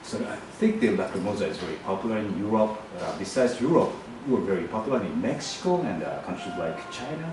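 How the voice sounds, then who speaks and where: A man's voice: lowish voice, very animated, fast talker, few pauses. A man asking interview questions in a casual way, often murmuring along while the guest talks. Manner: frequent soft backchannel murmurs. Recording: distant mic in a very echoey room with noise.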